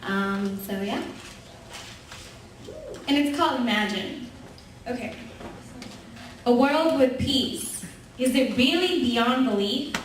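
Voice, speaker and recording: A young woman from Sri Lanka recites a poem in an earnest, deliberate way, her voice quite high. She sounds distant, ringing through a large echoing hall. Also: a little hiss or hum. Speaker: spoken word poet. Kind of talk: spoken word poetry performance. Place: university event hall. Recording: echoey hall recorded from far off.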